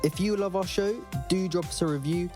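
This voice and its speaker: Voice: medium pitch, fairly quick. A podcast host reading a scripted introduction to a show. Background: music.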